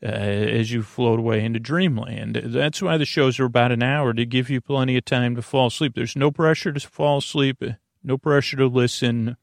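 A man hosting a sleep podcast with a halting, meandering, self-deprecating and reassuring improvised monologue. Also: medium pitch, fairly even intonation, vocal fry, no echo, clean studio sound. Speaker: podcast host. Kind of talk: rambling improvised bedtime monologue.

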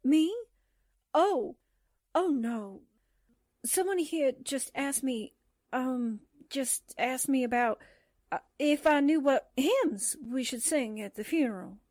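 A woman's voice: high voice, animated, slow pace, a little mellow, no echo, full character voice. An actress playing a brash, cheeky, scatterbrained newspaperwoman with perky delivery in a 1940s-style radio drama. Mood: flustered. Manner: perky, wisecracking.

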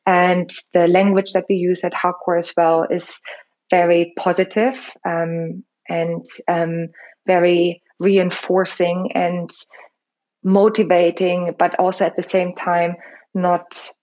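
A middle-aged woman from Germany with a German accent, answering thoughtfully in a podcast interview, with many pauses and restarts. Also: on the low side, level tone, darkish tone. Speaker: founder of a fitness studio chain.

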